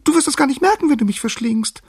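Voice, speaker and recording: Storybook mouse, played by a man: tiny, timid, very high squeaky voice, stammering and submissive. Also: lively intonation, fast, no room sound, studio quality. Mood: pleading, timid. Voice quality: squeaky, thin. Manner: stammering, pleading.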